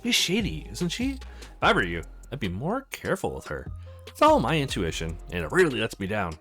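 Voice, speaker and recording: A man narrates a visual novel playthrough in a casual, theatrical way. His mid-range voice jumps widely in pitch as he acts out dialogue and comments on it. Soft music plays behind him. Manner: acts out characters' lines with exclamations. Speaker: let's play host.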